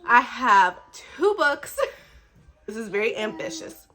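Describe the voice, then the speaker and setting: A woman's voice: on the high side, sing-song, slow pace, sharp tone. A woman book vlogger who is slightly under the weather, chatting casually in an effusive, giggly, rambling way. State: slightly sick.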